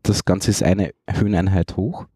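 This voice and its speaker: A man's voice: low-pitched, steady tone, normal speed, dark tone, husky, dry recording, studio quality. A man hosting a podcast interview, asking questions in a loose, hesitant way with many fillers. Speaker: podcast host.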